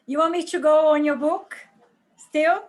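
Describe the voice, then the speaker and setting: A woman improvising a tarot reading on a video call, halting and repeating phrases as she goes. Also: high voice, steady tone. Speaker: tarot reader.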